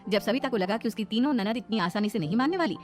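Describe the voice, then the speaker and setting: A woman's voice: on the high side, lively intonation, fast talker, faint music behind. A woman narrating a scripted moral story.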